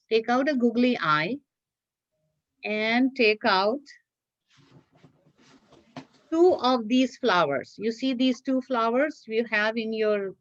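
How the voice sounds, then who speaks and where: A woman's voice: on the high side, dry recording, dull audio. A woman teacher giving step-by-step craft instructions to children in a patient, repetitive way, often checking that they follow.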